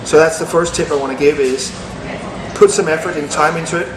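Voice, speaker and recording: A man's voice: a little high, brisk. Danish-born man in an echoey room. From Denmark. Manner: earnest, steady motivational delivery. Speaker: interview guest. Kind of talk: business interview. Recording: echoey room with background noise.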